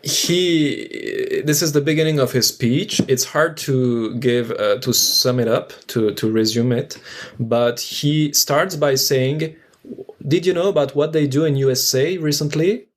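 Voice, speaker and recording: A man explaining things casually on a podcast, halting as he searches for words. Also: medium pitch. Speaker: guest discussing theatre history.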